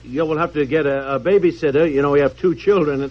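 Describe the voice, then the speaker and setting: An actor playing an exasperated, stammering, blustery suburban husband in a mid-century American radio comedy. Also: male voice, a little high, fast talker, natural voice. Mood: flustered. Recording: muffled, noisy old radio recording.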